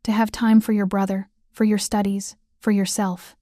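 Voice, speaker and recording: A woman reading a calm, commanding mafia boss. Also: medium pitch, moderate pace, no echo, clean studio sound, close to an everyday voice.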